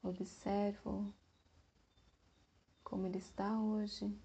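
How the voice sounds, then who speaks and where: A woman leads a guided meditation in a soft, breathy voice, speaking in short phrases with long pauses. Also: medium pitch, level tone.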